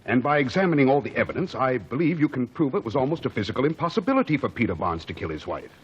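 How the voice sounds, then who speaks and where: A man's voice: medium pitch, very animated, fast talker, husky. An actor playing an earnest male theater producer who speaks persuasively, in 1940s radio acting. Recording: dull old radio broadcast, faint hiss.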